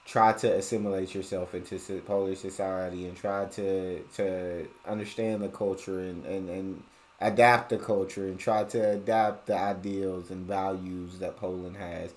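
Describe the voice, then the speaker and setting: American guy doing reaction commentary, low voice, slangy and casual, rephrases himself a lot. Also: little pitch movement, moderate pace. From United States. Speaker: reaction video host.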